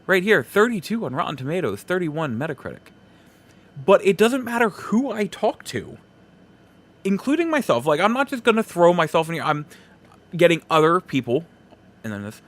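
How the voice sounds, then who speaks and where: A man hosting a solo podcast, giving casual commentary in a halting, rambling way and repeating himself for emphasis. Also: sits high, sing-song, fairly bright tone. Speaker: podcast host.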